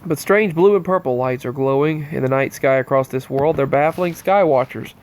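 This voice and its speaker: A man's voice: medium pitch, normal speed. A man hosting his channel, with a stuffy nose from allergies, running loosely and earnestly through a list of strange news in a casual monologue. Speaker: channel host.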